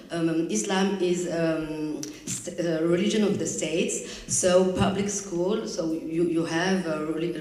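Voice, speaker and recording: A woman from Morocco who speaks English haltingly, searching for her words on a panel. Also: lowish voice, darkish tone. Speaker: panelist, activist. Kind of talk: halting explanation. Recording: echoey room, distant mic.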